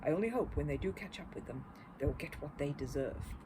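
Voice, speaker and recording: Woman voicing a kindly, concerned older gentleman shopkeeper. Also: low voice, lively intonation, brisk, noisy background, pitched below the performer's own voice. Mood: grave. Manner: solicitous, mildly reproving, courteous. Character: kindly, concerned older male antique-shop keeper.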